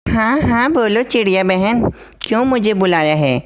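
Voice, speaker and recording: Nosy, high-voiced lady bulbul, voiced by a woman. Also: lively intonation, normal speed, slight background noise, lightly acted. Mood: responsive. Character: nosy, scolding female bulbul bird.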